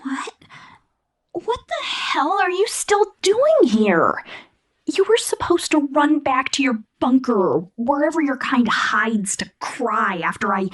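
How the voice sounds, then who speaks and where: A woman voicing an angry outcast teenage robot girl, edgy and grudgingly affectionate, in an ASMR roleplay with a husky, breathy voice. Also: on the high side, heavily acted.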